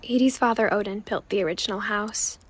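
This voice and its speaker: A woman's voice: medium pitch, a little fast, lightly acted. A young woman playing a reflective teenage girl who recalls her family in wistful, understated game narration. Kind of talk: scripted first-person game narration.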